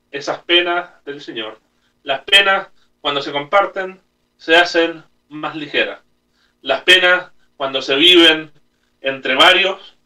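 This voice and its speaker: Middle aged priest guy saying mass, kinda high bright voice, mic is a way off in a small room. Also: distant mic. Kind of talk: homily and prayers at a home-recorded Mass. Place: small room at home, indoors. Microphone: camera a few metres away.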